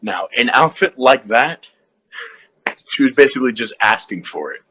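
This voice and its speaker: An actor playing a crude, taunting, menacing costumed villain in a fan-made drama. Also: male voice, mid-range voice, expressive, moderate pace, pushed and bright, slightly rough, poor audio. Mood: sneering, threatening.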